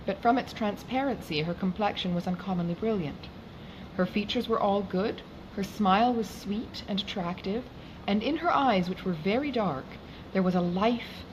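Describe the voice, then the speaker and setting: A woman reading a classic novel aloud as an audiobook, with formal, expressive phrasing. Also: fairly low pitch, background noise. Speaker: audiobook reader.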